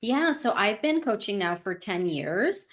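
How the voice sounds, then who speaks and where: A woman from Northern Virginia joins a live online interview as a guest coach. She speaks earnestly and candidly, her thoughts flowing on at a mid pitch for a woman. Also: sing-song, unhurried.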